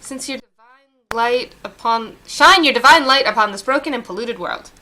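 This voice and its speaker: A woman voicing a solemn female tree spirit from a video game, reading formal dialogue slowly and earnestly. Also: fairly high pitch, animated, bright, forceful tone, low-quality recording.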